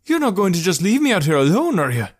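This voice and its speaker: A man acts out a timid male traveller from a fantasy audiobook. The voice sits high and sounds flustered, with an indignant, stammering manner. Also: very animated, quick pace. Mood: anxious. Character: panicky, indignant, cowardly young male traveller.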